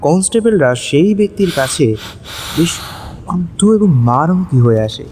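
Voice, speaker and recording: A man narrating a dramatic audio story, with measured, suspenseful phrasing. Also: high-pitched, expressive, a little mellow, slight background noise.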